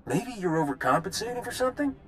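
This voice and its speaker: A man's voice: sits high, big pitch swings, fast talker, a bit bright, faint music behind. An actor playing a wry, blunt male spy who is easily exasperated, in a video game cutscene. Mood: mocking. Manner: blunt, sardonic.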